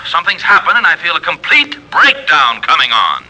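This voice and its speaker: An actor playing a haughty, overwrought wealthy client who talks with pompous, precise sarcasm in old radio drama style. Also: male voice, on the high side, huge pitch swings, a little fast, bright, forceful tone, soft background music, heavily acted, rough recording. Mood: agitated. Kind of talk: 1940s-50s radio drama acting.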